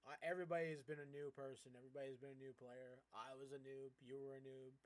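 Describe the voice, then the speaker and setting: A man's voice: mid-range voice, little pitch movement, quick pace, poor audio. A man chatting casually as a game streamer, rambling and encouraging in an informal way. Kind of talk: casual livestream chat while gaming.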